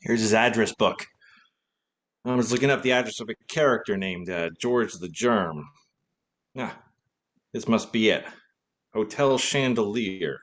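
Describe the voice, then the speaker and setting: An actor playing a quick-witted, take-charge private detective in a radio play. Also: male voice, on the low side, a bit bright. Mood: brisk, decisive.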